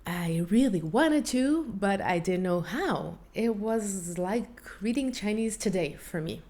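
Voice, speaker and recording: A woman's voice: medium pitch, animated, takes it slowly, mellow. Earnest Hebrew-accented woman talking to camera. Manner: earnest, reflective, small slips in English. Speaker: Hebrew teacher and vocal coach. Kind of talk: personal talk to camera, one take.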